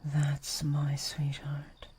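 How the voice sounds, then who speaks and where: A woman voicing a gentle but possessive vampire lady in an intimate roleplay, soft-spoken and often whispering, with formal, old-fashioned, coaxing phrasing. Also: low voice, monotone, slightly rough, full character voice. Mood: hungry, intimate. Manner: formal, archaic, slow, coaxing.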